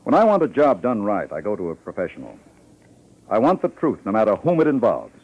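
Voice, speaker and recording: Rich newspaper publisher, discreet, formal, lowish animated voice, quick pace, old radio drama. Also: male voice, exaggerated voice. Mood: businesslike. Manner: hesitant, discreet, formal, with pauses. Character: wealthy, guarded, businesslike male newspaper publisher. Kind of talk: 1950s radio drama acting. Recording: slightly muffled old radio recording.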